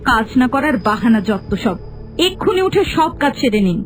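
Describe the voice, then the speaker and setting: A woman playing a cruel, bossy young daughter-in-law in a cartoon folk tale, scolding with sharp sarcasm. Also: on the high side, big pitch swings, normal speed, faint music behind.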